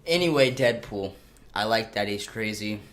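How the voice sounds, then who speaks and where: Low-voiced young man rambling casually. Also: expressive, moderate pace, a bit bright. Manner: rambling, loses his train of thought. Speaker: co-host. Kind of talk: casual chat.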